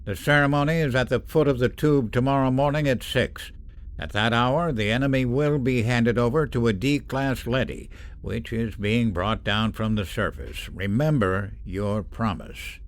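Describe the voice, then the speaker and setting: A man playing a stern father, measured and matter-of-fact, in an old-time radio drama style. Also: mid-range voice, lightly acted. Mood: restrained, stern.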